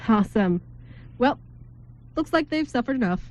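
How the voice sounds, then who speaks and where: Woman playing affable young man, high-voiced. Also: animated, moderate pace, dull audio, natural voice. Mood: amused, easygoing. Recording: faint noise, amateur room recording.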